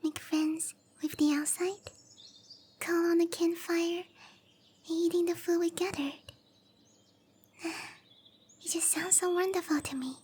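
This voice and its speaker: Woman voicing a tiny, shy, very high-voiced cowgirl. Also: steady tone, slight background noise. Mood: dreamy, wistful. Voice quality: very high, soft, breathy girlish voice. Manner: bashful, hesitant, slow and gentle. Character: shy, sweet, petite young cowgirl monster girl with nature magic. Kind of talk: anime-style ASMR roleplay.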